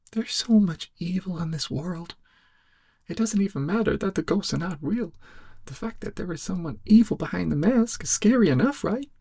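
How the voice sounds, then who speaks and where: A man playing a laid-back, cowardly, goofy young amateur sleuth in a soft-spoken ASMR roleplay, rambling hesitantly in a breathy, cracking stoner drawl. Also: sits high, no room sound, clean studio sound, full character voice. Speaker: ASMR performer.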